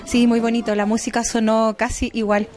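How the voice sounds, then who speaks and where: Fairly high-voiced woman. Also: flat delivery, brisk, a little mellow, soft background music. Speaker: dancer and teacher. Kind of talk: informal interview answers. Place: small backstage room. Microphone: handheld microphone held by interviewer.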